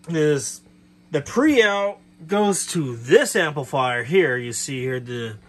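Higher-pitched man, casual hobbyist demo. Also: a bit bright. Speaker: hobbyist presenter. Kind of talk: casual hands-on demonstration. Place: cluttered bedroom, indoors. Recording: clear background noise, room ambience.

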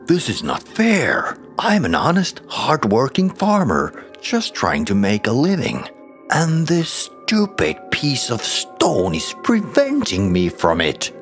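Greedy scheming farmer, whiny and pitched well up, put on by a male narrator for an audio drama. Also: huge pitch swings, slow, faint music behind. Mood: indignant.

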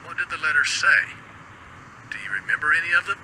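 An actor playing a thoughtful, candid late-night radio DJ in a game. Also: male voice, on the high side, expressive, quick pace, background noise, lightly acted. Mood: earnest.

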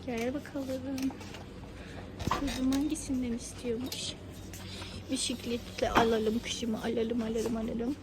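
A woman's voice: a little high, little pitch movement, measured pace, long pauses. A woman chatting casually to her young child, coaxing with repeated questions in a sing-song manner. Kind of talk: casual child-directed chat. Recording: noisy location recording.

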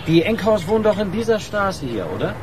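An actor playing a pushy, wry newspaper reporter in naturalistic radio crime drama dialogue, probing and casual. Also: male voice, high voice, sing-song, fairly quick, noisy background. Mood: probing, polite. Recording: radio play with background ambience.

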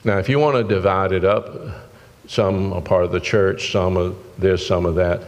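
A man's voice: deep voice, steady tone, normal speed. An older man preaching a sermon from a church pulpit, in an earnest, folksy way. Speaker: pastor. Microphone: pulpit microphone. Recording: long church-hall reverb.

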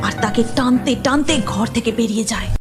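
A woman's voice: a little high, brisk, music in the background. A woman narrating a scripted cartoon horror story in a dramatic storytelling manner.

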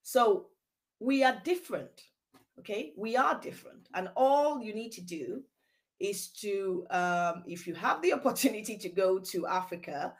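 A woman's voice: medium pitch, animated, unhurried. A middle-aged woman from Nigeria with a Nigerian accent, speaking passionately as a guest over a video call from a room at home. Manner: emphatic, repeats "okay?" for stress. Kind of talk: passionate discussion. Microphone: video call webcam.

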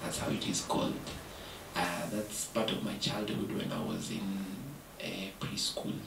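A man's voice: low voice, flat delivery, measured pace, gravelly, far from the mic. A young Kenyan man chatting relaxedly as a studio guest, dropping in Swahili words, seated at a keyboard and talking into a stand microphone. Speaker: guest musician. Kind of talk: casual interview chat. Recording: roomy studio, voice a little off mic, noisy.

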